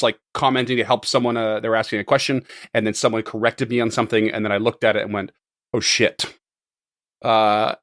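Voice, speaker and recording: A slightly hoarse man running a tabletop game podcast, talking casually, rambling and often laughing. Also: mid-range voice, a bit bright, no room sound, studio quality. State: a little hoarse. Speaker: game master.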